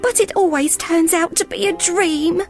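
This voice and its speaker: A woman narrator voicing a kindly, excitable nanny in a storybook. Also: extremely high pitch, normal speed, soft background music, pitched above the performer's own voice. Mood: wistful. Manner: exclaiming, wistful.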